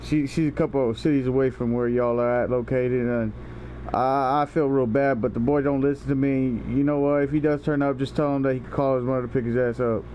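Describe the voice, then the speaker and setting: A man's voice: mid-range voice, fairly even intonation, fairly quick, dark tone, slight background noise. A young man making a prank phone call in casual, slangy talk, rambling and stammering, with some swearing. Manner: rambling, foul-mouthed, stammering. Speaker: prank caller and video host.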